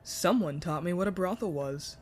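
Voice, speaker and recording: A man's voice: high-pitched, moderate pace, faint music behind, close to an everyday voice. A man playing a naive, indignant, teasing nineteen-year-old prince in a fantasy audio drama. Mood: baffled, shocked.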